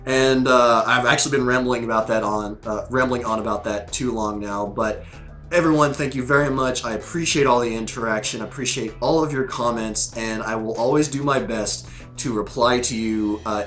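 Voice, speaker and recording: A man with a scratchy, strained voice, worn by a sore throat, talks casually to his viewers. His pitch sits in a middle range, and he rambles with frequent ums and restarts. Faint music plays softly behind him. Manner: loose and chatty, many fillers and restarts. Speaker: host reviewing episodes. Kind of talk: casual rambling commentary to camera.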